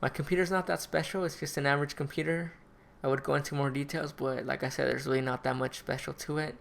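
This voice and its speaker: A man's voice: on the high side, fairly even intonation, fast, vocal fry. A young man answering fan questions in a casual vlog, chatty and easygoing with frequent laughs, his voice tired and wearing out. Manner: chatty, easygoing, self-deprecating, laughs often.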